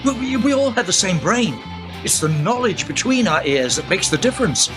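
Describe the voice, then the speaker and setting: A man's voice: high voice, sing-song, normal speed, over music. A man playing an eccentric older grandfather inventor in a radio play, speaking in theatrical, old-fashioned formal phrases. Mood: earnest, cryptic. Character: eccentric grandfather inventor, cryptic, eager time-traveller.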